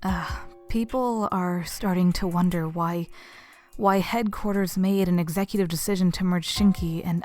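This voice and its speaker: A woman's voice: on the low side, a little mellow, close to an everyday voice. A woman playing a doubtful, frustrated lieutenant in a fan-made anime audio drama, speaking respectfully but hesitantly. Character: frustrated, doubtful female lieutenant reporting to her commander.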